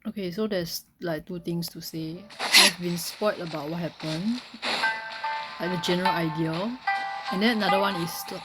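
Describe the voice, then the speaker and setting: A woman's voice: fairly low pitch, moderate pace, low-quality recording. A woman giving casual, rambling, hesitant commentary as they play through a video game. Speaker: gamer narrating a playthrough. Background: loud game music.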